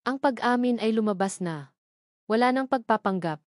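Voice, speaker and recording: A woman's voice: on the high side, expressive, normal speed, darkish tone, dry recording, clean studio sound. A woman reading a scripted first-person love story as a dramatic narrator. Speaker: narrator of a romance audio drama.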